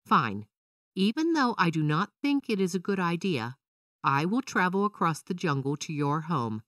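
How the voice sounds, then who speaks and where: Storybook fire character, done by a woman: reluctant, grudging male homebody, animated intonation, dry recording. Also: on the low side, normal speed, no room sound, recorded from a distance. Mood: grudging consent.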